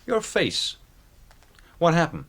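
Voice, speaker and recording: Cocky housebreaker, slangy and informal, very animated pitch, inquisitive, radio mystery drama. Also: male voice, slow, exaggerated voice. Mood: curious, probing. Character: cocky, inquisitive American guest, a famed housebreaker.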